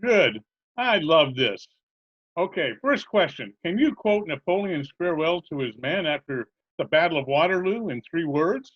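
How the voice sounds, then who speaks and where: A man's voice: fairly high pitch, very animated, normal speed. A man playing a genial, scatterbrained butcher in old-time radio comedy style, chatty, earnest and politely literal-minded. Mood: cheerfully eager. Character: genial scatterbrained male butcher who muddles every question. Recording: dull remote video-call recording.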